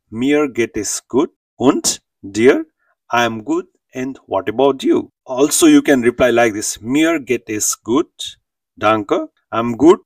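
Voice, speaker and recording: Man teaching, lively swooping pitch, gravelly edge. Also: dry recording, recorded from a distance. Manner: didactic, repeats phrases for the learner. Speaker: language teacher. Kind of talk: instructional lesson narration.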